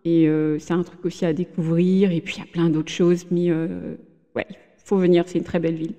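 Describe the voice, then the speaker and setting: A woman's voice: fairly low pitch, level tone, fairly quick, warm tone, in a large hall. A woman in her thirties, a podcast guest, talking casually with many hesitations and restarts. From Benin. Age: 30s. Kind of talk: casual interview chat. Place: room in a home in Germany.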